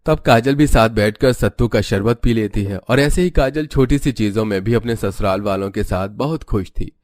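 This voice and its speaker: Low-pitched male story narrator. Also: normal speed, a little mellow, dry recording, clean studio sound.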